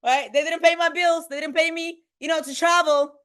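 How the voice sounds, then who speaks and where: A woman from Bulgaria, giving an energetic motivational talk to a group on a video call. She ends nearly every point with "right?" and her voice swings between high and low. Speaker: motivational speaker and team trainer.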